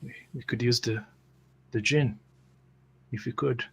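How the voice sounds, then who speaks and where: A man playing a brooding, bearded fantasy adventurer in a tabletop game, muttering haltingly and thoughtfully. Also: mid-range voice, fairly even intonation, a bit bright, husky, heavily acted.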